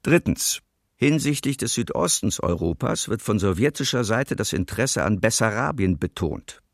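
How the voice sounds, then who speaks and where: Low-pitched formal official, detached document reading, creaky edge, radio documentary. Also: male voice, expressive, moderate pace, no echo, studio quality. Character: formal male official reading a secret treaty protocol.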